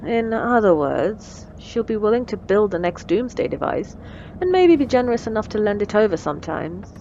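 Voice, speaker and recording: A woman's voice: mid-range voice, sing-song, normal speed, creaky, warm tone, muffled recording, slight background noise. A woman playing a wry, menacing supervillain in a scripted audio roleplay, teasing and condescending, with cool threats. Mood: businesslike. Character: wry, menacing female supervillain mentoring a captive assistant.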